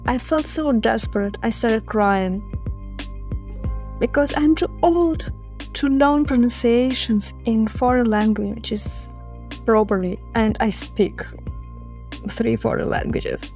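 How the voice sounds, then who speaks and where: Slow-spoken woman, second-language speaker. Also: on the high side, a little mellow, soft background music. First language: not English. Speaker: caller sharing a story. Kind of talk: personal recorded anecdote.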